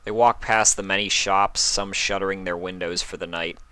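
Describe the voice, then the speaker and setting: A man's voice: sits low, fairly even intonation, normal speed, bright, forceful tone, clean tone, no echo. A man reading a story aloud as a scripted third-person narrator. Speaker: fan fiction reader, narrator.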